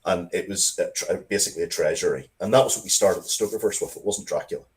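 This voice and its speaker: A man's voice: sits low, fast. A man speaking as an interviewed writer, rambling conversationally and bluntly through stories about his own work.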